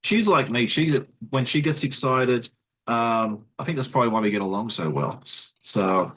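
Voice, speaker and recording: An Australian man, a guest, talks quickly in a casual livestream chat with lots of fillers and restarts. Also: medium pitch, expressive, fairly bright tone, slightly rough, low-quality recording. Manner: fast-talking, chatty, many fillers and restarts. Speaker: guest content creator.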